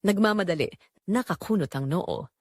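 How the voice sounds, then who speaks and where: Female narrator for romance audio drama: intimate, suspenseful phrasing, lively swings in pitch, dry recording. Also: normal speed, no room sound.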